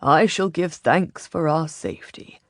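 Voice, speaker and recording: Woman doing an old monk type in a storybook, solemn and measured, real low, drags slowly. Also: no room sound, studio quality. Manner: measured, grave. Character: solemn, pious older churchman, gently stern.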